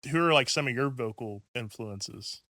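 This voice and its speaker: A man's voice: medium pitch, moderate pace, bright, forceful tone, no echo, studio quality. A man hosting a casual interview, rambling and chatty with many "likes" and "ums". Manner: chatty, rambling, heavy use of "like" and "um". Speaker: podcast host.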